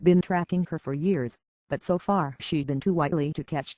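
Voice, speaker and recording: An actor playing a gruff, wary, weary but defiant island local in a video game, blunt and folksy in manner. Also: medium pitch, normal speed, darkish tone, no echo, lightly acted. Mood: businesslike, resolute. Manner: blunt, folksy, suspicious of outsiders. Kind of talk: video game character acting.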